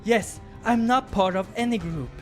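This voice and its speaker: A man's voice: moderate pace, lightly acted. A man playing a young, budding adventurer in a visual novel, nervous yet plucky, with a flustered and earnest manner. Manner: flustered, earnest, higher-voiced. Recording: music behind the voice.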